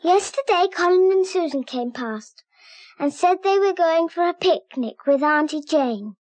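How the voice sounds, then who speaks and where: A woman's voice: extremely high pitch, lively intonation, a little slow, darkish tone, dry recording. A woman voicing an earnest young child who narrates a scripted safety cartoon. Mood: earnest, simple.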